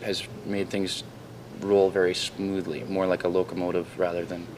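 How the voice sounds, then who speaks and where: Deep-voiced Canadian rock guitarist. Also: male voice, little pitch movement, moderate pace. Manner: confident, rambling, clears throat. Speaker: guitarist and band founder. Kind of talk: casual interview answers. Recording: raw camcorder audio with noticeable noise.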